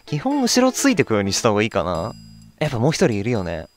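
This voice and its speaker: A man's voice: mid-range voice, wildly swooping pitch, fast talker. A man giving casual gameplay commentary, often murmuring questions to himself as he plays. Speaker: let's play host. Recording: game music behind the voice. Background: video game music.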